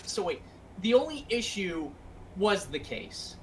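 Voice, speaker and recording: A woman's voice: mid-range voice, big pitch swings, slow pace. A woman explaining things in casual group chat, blunt and matter-of-fact, with emphatic swearing. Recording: noisy home microphone.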